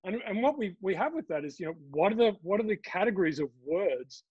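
A middle-aged man hosting a casual interview on a video call, quick and eager. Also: high-pitched, sing-song, a little mellow, breathy edge, no echo. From Australia. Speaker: host. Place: small room at home. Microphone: laptop on a video call. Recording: dull, slightly lo-fi video call audio.

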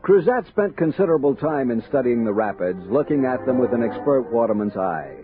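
An actor playing a thoughtful, commanding American army captain in a 1960s radio drama, measured and authoritative. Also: male voice, medium pitch, expressive, natural voice. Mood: admiring narration. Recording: dull vintage radio recording with music.

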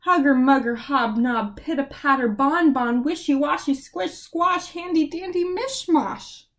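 A woman voicing a kindly, practical school nurse in a read-aloud storybook, talking briskly and in a friendly way. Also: high-pitched, a bit bright, pitched above the performer's own voice. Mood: chanting.

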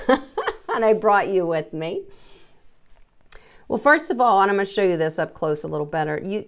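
A middle-aged woman giving a casual, chatty explainer to viewers, speaking emphatically and directly to them. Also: medium pitch. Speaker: dog groomer presenting salon setup.

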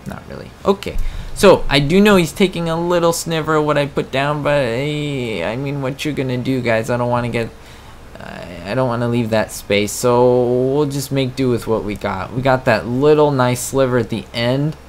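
A man's voice: mid-range voice, moderate pace, slight background noise. A man giving casual, self-mocking commentary while playing a farming game, chatting to his viewers. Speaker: gameplay commentator. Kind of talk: casual let's-play commentary.

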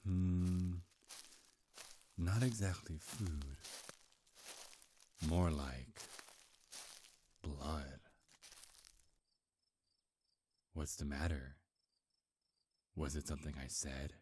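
A man playing a hungry, seductive vampire in an ASMR roleplay, murmuring and whispering close to the mic, breathy and softly menacing. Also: very deep voice, steady tone, a little hiss or hum, heavily acted. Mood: predatory, teasing. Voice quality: husky, breathy. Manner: slow, coaxing, softly menacing. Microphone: close microphone.

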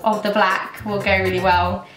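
A young woman in her twenties, losing her voice and croaky, chatting casually and self-mockingly through a makeup tutorial. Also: medium pitch, little pitch movement, moderate pace, reverberant, far from the mic, over music, rough recording. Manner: chatty, self-mocking, rambling with many ums. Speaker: beauty vlogger. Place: indoors, plain grey backdrop.

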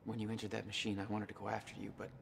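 Gravelly, earnest action hero. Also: male voice, lowish voice, monotone, very fast, a little hiss or hum. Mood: pleading, intense. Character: earnest, stubborn, troubled young male action hero. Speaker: video game character actor. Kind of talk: cinematic video game dramatic acting.

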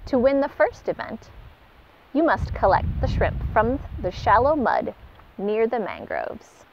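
Brisk instructive penguin read by a woman, slightly high lively voice, muffled lo-fi recording. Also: creaky, a little hiss or hum, heavily acted. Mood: instructing. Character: penguin, brisk contest organizer. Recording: dull lo-fi recording.

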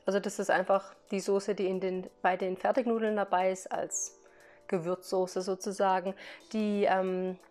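A woman's voice: medium pitch, level tone, moderate pace, darkish tone, soft background music. A woman talking casually to her viewers in a product haul vlog, chatty, laughing now and then between remarks as she explains each item. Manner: explains items one by one to viewers. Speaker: vlogger.

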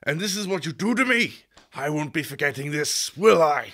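A man as a bitter creature, swinging pitch. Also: animated, normal speed, fairly bright tone. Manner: bitter, accusing. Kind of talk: fantasy audio drama acting.

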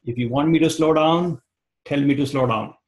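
A man in his forties with an Indian accent, teaching an online class over a video call, talking quickly and restarting phrases. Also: a little high. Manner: fast-talking, repeats words, restarts phrases. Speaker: instructor. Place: home room, indoors. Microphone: webcam on video call.

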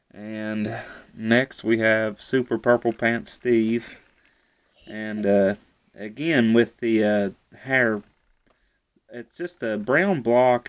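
Laid back guy with a lowish voice showing off a toy build at home, keeps saying really cool. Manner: easygoing, repeats "really cool". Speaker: toy reviewer. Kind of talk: casual product review. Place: indoors at a table.

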